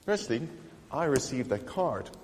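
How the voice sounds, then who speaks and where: A man's voice: mid-range voice, big pitch swings, a little slow, big-room sound, crowd noise. Eager man. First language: Afrikaans. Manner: eager, chatty, with small restarts. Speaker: vlogger. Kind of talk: casual chat showing gifts.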